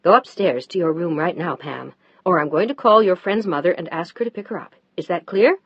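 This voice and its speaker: Wife, high and heated, near tears, woman putting her on. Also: animated, brisk, dry recording, highs cut off. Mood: angry. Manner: loud, sharp, near tears. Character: upset new wife, tearful and fierce.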